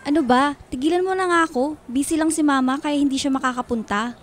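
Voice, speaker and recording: Actress as aggrieved schoolgirl daughter, radio drama, very high voice, tearful protest. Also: fast talker, natural voice. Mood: indignant. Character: hurt, resentful schoolgirl daughter. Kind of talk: Filipino radio drama acting.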